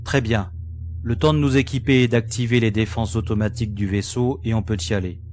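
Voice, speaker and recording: A man's voice: on the low side, fairly even intonation, a little fast, a little mellow, slight background noise, close to an everyday voice. A man playing a young, easygoing spaceship pilot who grows worried in a French sci-fi audio drama, with an everyday voice. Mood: resolute, uneasy.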